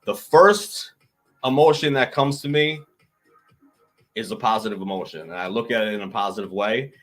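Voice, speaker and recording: A man's voice: fairly low pitch, moderate pace, a bit bright, soft background music. A man co-hosting a casual podcast chat, opening with hype-man calls and emphatic repeats. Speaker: podcast co-host.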